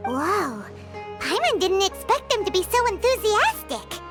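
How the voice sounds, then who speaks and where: A tiny floating fairy companion from an anime game. The voice is piercingly high and childlike, with very lively pitch swings. The little creature is excitable, boastful and exclamatory, and loud music plays behind. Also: female voice, a little slow, heavily acted. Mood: boastful, animated. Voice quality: squeaky, childlike. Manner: speaks of herself in third person, exclamatory. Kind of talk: anime game character acting.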